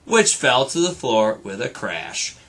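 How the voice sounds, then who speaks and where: Male teacher, storybook read-aloud, slow pace, very lively intonation, bright tone. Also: medium pitch, gravelly, a little hiss or hum. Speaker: teacher reading aloud to students. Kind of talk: children's storybook read-aloud with casual asides.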